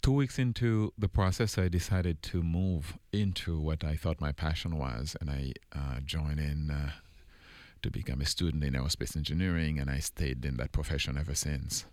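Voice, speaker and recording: A middle-aged man from Haiti, a guest in an interview, telling his story in a measured, reflective way with many pauses and fillers. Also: a bass voice, a little mellow, dry recording. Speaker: engineering dean, guest.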